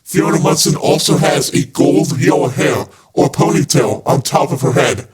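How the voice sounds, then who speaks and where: A man's voice: medium pitch, moderate pace, darkish tone, slight background noise. A man hosting a fan podcast, reading scripted narration. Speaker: podcast host.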